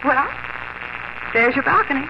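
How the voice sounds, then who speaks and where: A woman's voice: high voice, expressive, measured pace, gravelly, full character voice. A young woman playing an eager, persuasive grown daughter in a 1950s radio drama, gushing and emphatic. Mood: excited coaxing. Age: young adult. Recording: very noisy, dull 1950s radio recording.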